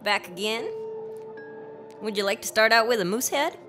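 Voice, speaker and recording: An actress playing a casual, matter-of-fact restaurant waitress in a radio drama. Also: female voice, on the high side, moderate pace, lightly acted. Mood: casual, helpful. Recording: music under the dialogue.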